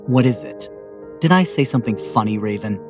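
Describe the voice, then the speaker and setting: An actor playing a stern, restrained fallen angel assassin with a gentle streak, in a measured deadpan, in an audio drama. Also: male voice, medium pitch, music in the background, natural voice. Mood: dry, calm.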